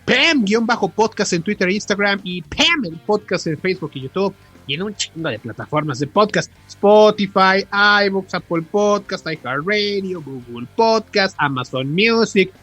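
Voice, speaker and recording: Casting: Mexican male podcast co-host, slightly high husky voice, emphatic and slangy, music underneath. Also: expressive, moderate pace, fairly bright tone. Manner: emphatic, repeats words for stress. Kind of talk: casual, slangy podcast chat. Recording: music behind the voice.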